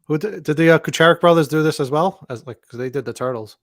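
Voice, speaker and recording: A man's voice: fairly high pitch, fast, dry recording. A man in his forties on a casual panel, talking eagerly and peppering his speech with "like". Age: 40s.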